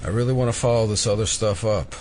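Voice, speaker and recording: Male lead, audio drama: actor as dry, obsessive FBI investigator, lowish pitch, ambient noise behind him. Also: fast talker, darkish tone, lightly acted. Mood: earnest, insistent. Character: obsessive, wry male FBI agent, conspiracy believer.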